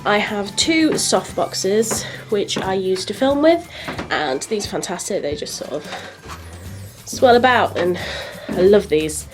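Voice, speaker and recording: A woman's voice: mid-range voice, lively intonation, takes it slowly, music in the background. A young woman with a slightly nasal, stuffy voice from hay fever, chattily showing off her beauty room in a casual home vlog. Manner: chatty, gushing, rambling. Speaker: beauty vlogger.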